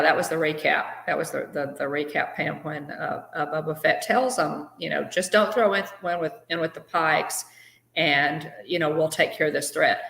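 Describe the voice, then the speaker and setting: A woman with a low, deep voice hosts a casual live stream. She talks chattily, greets viewers by name and pads her sentences with fillers. The sound rings a little in a roomy space. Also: gravelly, pushed and bright. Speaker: co-host of a live fan show.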